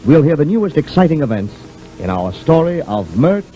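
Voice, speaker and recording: Casting: male serial narrator, dark smooth-edged tone, lively intonation, music behind, vintage radio. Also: on the high side, normal speed, rough recording. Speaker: announcer and narrator. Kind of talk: scripted 1940s radio narration. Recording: old 1940s radio broadcast.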